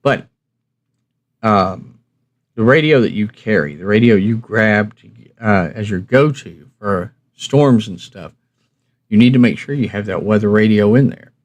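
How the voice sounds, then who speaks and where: A man's voice: on the low side, measured pace. A man hosting a review channel, chatting casually and instructively to viewers in a rambling, folksy, plain-spoken way. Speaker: host of a radio review channel.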